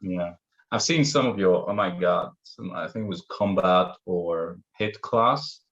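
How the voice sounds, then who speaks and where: A man's voice: sits low, a little slow, fairly bright tone. A laid-back man from Greece hosting a casual interview, asking questions and laughing easily. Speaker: interview host. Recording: dull lo-fi sound.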